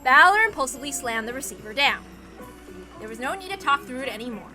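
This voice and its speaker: A woman's voice: high-pitched, animated, quick pace, music in the background. A woman reading a visual novel aloud as dramatic story narration.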